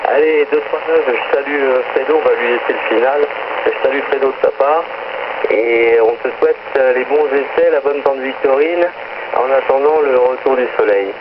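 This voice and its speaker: A man's voice: medium pitch, normal speed. Chatty man on a noisy radio link. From northern Paris area, France. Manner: chatty, friendly sign-offs and greetings. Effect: two-way radio transmission. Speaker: amateur radio operator. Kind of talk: casual radio contact chat with operator jargon. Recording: noisy, muffled shortwave radio transmission.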